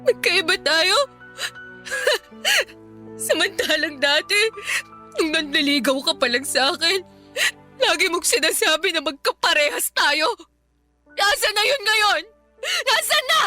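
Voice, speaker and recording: A woman's voice: extremely high pitch, lively intonation, unhurried, sharp tone, soft background music, exaggerated voice. A woman playing a heartbroken, desperate young woman in a radio drama, pleading tearfully and stubbornly. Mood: hurt, accusing.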